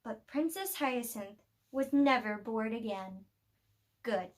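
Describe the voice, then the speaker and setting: A woman reads a children's story aloud in a high-pitched voice. Her delivery is expressive, the kind used for picture books, and she places deliberate pauses between phrases. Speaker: storybook reader.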